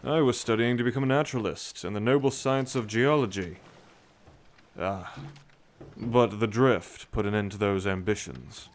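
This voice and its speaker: A man's voice: on the low side, moderate pace, slight background noise, lightly acted. A man playing an educated, kindly, rational sailor with articulate, bookish phrasing in a nautical fantasy audio drama. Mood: wry. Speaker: ship crewman.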